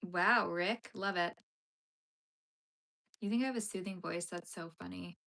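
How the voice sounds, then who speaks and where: A woman's voice: lowish voice, a little slow, creaky, pushed and bright. A young woman chatting casually on a live stream as she crochets and reads her chat aloud, laughing often and using lots of fillers. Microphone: phone streaming vertically.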